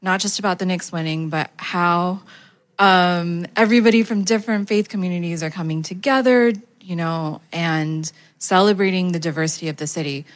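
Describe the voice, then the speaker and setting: A woman's voice: lowish voice, moderate pace. A woman speaking on a panel in a conversational way, with frequent hesitations. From United States. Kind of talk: panel discussion remarks.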